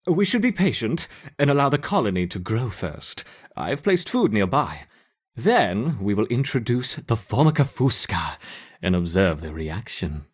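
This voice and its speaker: A man's voice: on the low side, big pitch swings, measured pace, husky, exaggerated voice. A man playing an eager, hesitant scientist who dotes on his ant colony in a video game. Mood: reporting keenly. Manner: hesitant, stammering, fussing over his subjects.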